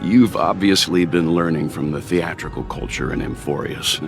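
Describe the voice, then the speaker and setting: A man voicing a calm, wry, scholarly mature traveler in an anime-style video game. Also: deep voice, music in the background. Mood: intrigued, composed. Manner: measured, wry.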